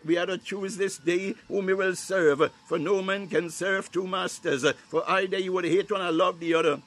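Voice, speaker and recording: Male prayer leader, slightly high voice. Fervent and declamatory, improvising in short bursts with repeated devotional exclamations. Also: steady tone, moderate pace, fairly bright tone.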